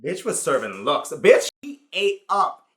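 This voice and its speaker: Animated, high-voiced man reviewing a movie. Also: unhurried. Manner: animated, effusive, sassy, with slang. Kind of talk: casual opinionated comedic review.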